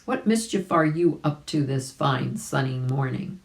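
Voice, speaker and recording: A woman voicing an old, slightly grumbly grandfather frog in a children's storybook, in a measured way. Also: a bass voice, animated, creaky, a little hiss or hum, full character voice.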